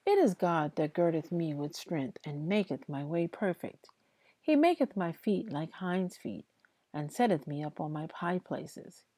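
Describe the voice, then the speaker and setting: A woman reading Bible passages aloud in a devotional style, with deliberate, emphatic phrasing. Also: fairly low pitch, animated, dark tone, no echo. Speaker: host reading scripture aloud. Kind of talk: slow devotional Bible reading aloud, with informal asides to listeners.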